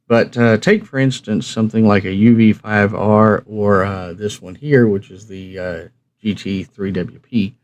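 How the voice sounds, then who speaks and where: A man's voice: fairly low pitch, fairly even intonation, measured pace, lo-fi sound. A man hosting a hobby live stream, explaining things in a casual, folksy way and answering his own questions. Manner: folksy, conversational, asks himself questions and answers them. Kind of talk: casual instructional livestream talk.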